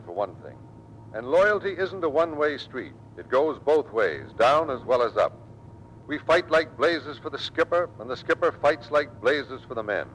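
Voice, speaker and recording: An actor playing a tough, loyal American Navy squadron commander in old-time radio drama style, earnest and commanding. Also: male voice, medium pitch, a bit bright, a little hiss or hum, low-quality recording.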